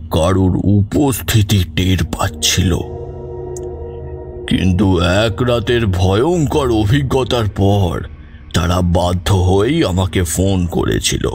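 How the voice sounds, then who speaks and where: A man playing a grave grandfather who tells of evil spirits in a measured, suspenseful horror audio story. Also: low voice, a little mellow, a little hiss or hum. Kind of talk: slow dramatic horror storytelling.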